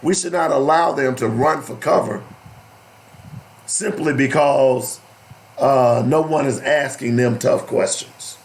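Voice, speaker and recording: A man hosting an opinionated radio talk show, deliberate and emphatic, with a strained, cracking voice that is giving out. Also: mid-range voice. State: losing his voice. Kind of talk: opinionated radio talk, partly reading aloud.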